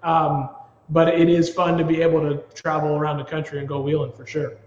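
Easygoing high-voiced man, echoey. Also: flat delivery, brisk, highs cut off. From Ontario, Canada. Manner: rambling, repeats words, easygoing. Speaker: guest, TV producer. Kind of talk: casual interview chat.

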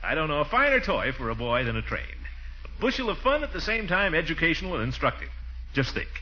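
A man's voice: a little high, sing-song, quick pace, pushed and bright. A man playing a jovial, blustery middle-aged uncle in a 1940s radio comedy, with hearty, chuckling delivery. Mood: jovial, eager. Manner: chuckling, hearty, exaggerated swoops. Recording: noisy, muffled 1940s radio recording.

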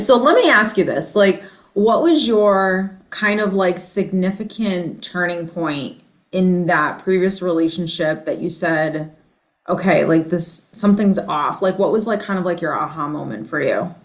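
A woman's voice: fairly low pitch, a little slow. A woman hosting a casual podcast interview, chatty and leaning on fillers like "like". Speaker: podcast host.

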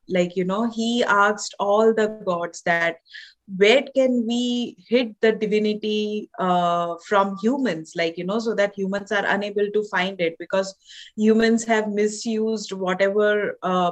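Woman, Indian accent, thirties, author, hesitant, fillers, podcast guest. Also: mid-range voice. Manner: hesitant, many fillers and restarts. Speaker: author and holistic doctor.

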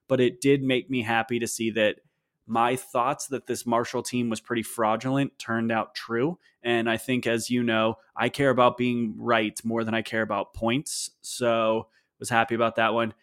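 A man's voice: mid-range voice, level tone, normal speed, fairly bright tone. A man hosting a casual sports podcast, opinionated and wry, talking with plenty of fillers. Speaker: podcast host.

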